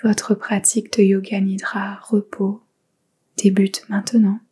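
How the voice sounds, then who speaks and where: A woman guiding a yoga nidra meditation in a soft whisper, giving slow, calm instructions. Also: mid-range voice, slightly rough. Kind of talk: guided meditation.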